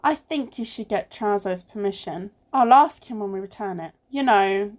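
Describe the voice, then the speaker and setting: A woman's voice: mid-range voice, normal speed, close to an everyday voice. A woman playing a sensible, exasperated amateur sleuth in an amateur radio play, with a dry, sarcastic delivery. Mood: polite, businesslike. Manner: dry, sarcastic, matter-of-fact.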